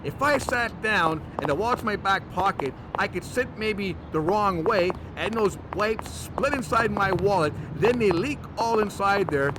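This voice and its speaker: A high, excitable voice for a fussy male monster hunter played by a man in a comedy horror audio drama. He is testy and defensive about his germ phobia, and a shameless flirt besides. The recording has plainly audible background noise. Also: measured pace, few pauses.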